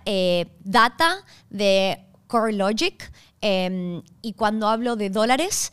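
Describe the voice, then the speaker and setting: Young Argentine woman host, clear explainer, keeps checking her listeners follow along. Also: mid-range voice. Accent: Argentine Spanish. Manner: clear, checks in with "¿está bien?" and "¿ok?". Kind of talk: explanatory talk to camera.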